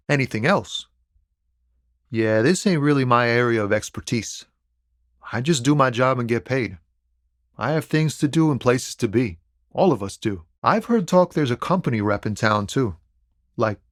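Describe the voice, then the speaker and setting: An actor playing a laid-back, philosophical lorry driver and amateur poet in a video game, talking in a wry, easygoing, slangy way. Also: male voice, mid-range voice, dry recording, studio quality, lightly acted. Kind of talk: video game character dialogue.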